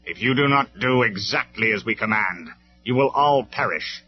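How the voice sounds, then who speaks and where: A man voicing a stern, commanding male robot leader who speaks loud and measured, on a dull lo-fi recording with faint music. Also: medium pitch, lively intonation, voice pushed up, close to an everyday voice.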